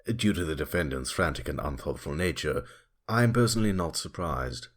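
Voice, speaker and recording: A man playing a cold, haughty, impatient prosecutor who speaks formally in a husky voice, in an audio drama. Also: extremely low pitch, expressive, brisk. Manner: formal, disdainful.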